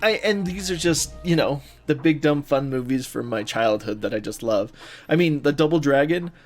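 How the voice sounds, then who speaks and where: A man's voice: on the high side, lively intonation, normal speed, faint music behind. A man co-hosting a podcast, talking casually like a fan, informal and a little sweary. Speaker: podcast co-host. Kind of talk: casual chat about movies.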